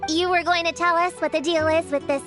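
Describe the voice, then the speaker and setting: High-voiced, level-headed girl. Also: fairly even intonation, brisk, a bit bright. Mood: businesslike. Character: level-headed, inquisitive girl, slightly reserved. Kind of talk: video game voice acting. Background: faint children's game music.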